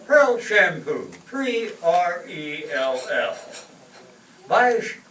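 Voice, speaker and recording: A man playing an old-time radio announcer, delivering an emphatic sponsor pitch. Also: big pitch swings, very slow, sharp tone, exaggerated voice. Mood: salesmanlike. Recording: roomy, distant stage pickup with faint noise.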